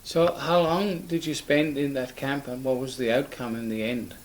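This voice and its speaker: A man's voice: mid-range voice, steady tone, normal speed. A man interviewing someone informally, asking plain-spoken questions that ramble a little.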